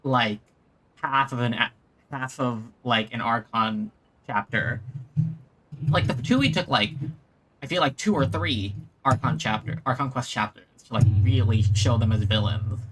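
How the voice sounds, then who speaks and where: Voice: mid-range voice, unhurried, fairly bright tone, poor audio. A game streamer giving casual, hesitant playthrough commentary, repeating words and often saying like.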